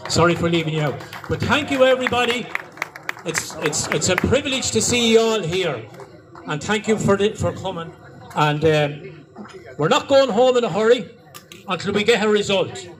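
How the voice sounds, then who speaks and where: An Irish man with a high voice speaks to a protest crowd. His speech is rousing and repetitive. Faint street noise sits behind him. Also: expressive, moderate pace. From Ireland. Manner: rousing, repetitive, rising to shouts. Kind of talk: public address to a crowd.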